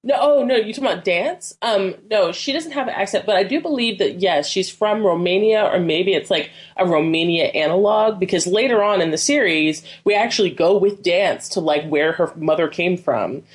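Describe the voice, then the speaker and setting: Lower-voiced woman gushing about a cartoon. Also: normal speed, hardly any pauses. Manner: enthusiastic, gushing, many "like" fillers. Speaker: podcast co-host. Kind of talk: casual chat.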